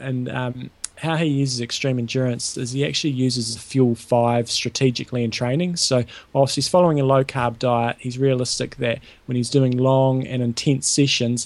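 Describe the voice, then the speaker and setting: Gravelly-voiced male podcast co-host. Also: mid-range voice, darkish tone, no room sound. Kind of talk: casual chat and sponsor read.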